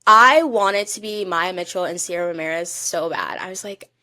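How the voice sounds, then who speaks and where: A woman's voice: lowish voice, normal speed, sharp tone, dry recording. Gushing young woman, close dry sound. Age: young adult. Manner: gushing, emphatic fan talk. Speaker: podcast co-host. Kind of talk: casual chat.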